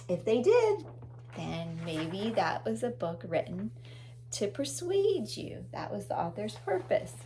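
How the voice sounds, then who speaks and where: A woman's voice: mid-range voice, gravelly, a little hiss or hum. A woman teacher leading a read-aloud lesson for young children, explaining things and repeating key words for emphasis. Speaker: elementary teacher reading aloud.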